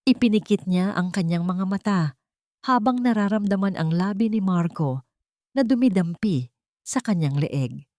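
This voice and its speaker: A woman with a Filipino accent narrating a scripted dramatic story in a measured, solemn manner. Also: medium pitch, lively intonation, darkish tone, no room sound, clean studio sound.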